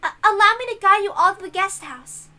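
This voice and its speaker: A young servant girl voiced by a woman speaks in a high, bright tone. She is formal, gentle and a little hesitant, always deferring to those she serves. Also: a little fast, exaggerated voice. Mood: obliging. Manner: deferential, soft-spoken, hesitant.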